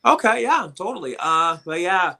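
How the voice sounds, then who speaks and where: Bright-voiced man in his thirties chatting. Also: high voice, expressive, normal speed, creaky. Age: 30s. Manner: rambling, repeats words when starting sentences. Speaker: livestream host. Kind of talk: casual livestream chat.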